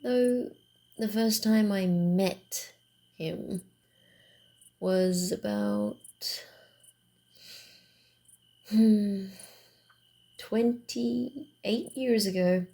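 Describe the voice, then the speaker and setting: A female vampire on the run, acted out by a woman, speaks in a slow, gravelly murmur for an ASMR roleplay. She is proud and teasing, yet halting and a little flustered, with a soft, mellow tone. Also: on the low side. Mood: wry, reflective. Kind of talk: ASMR roleplay, acted one-sided dialogue.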